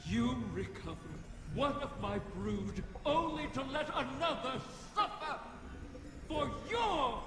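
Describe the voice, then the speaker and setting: A woman's voice: sits high, animated, slow. A woman voicing an ancient, wrathful female dragon in a video game, theatrical and accusing. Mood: bitter fury. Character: ancient, wrathful female wish-dragon mourning her brood. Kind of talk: video game cutscene acting. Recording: cavernous long reverb.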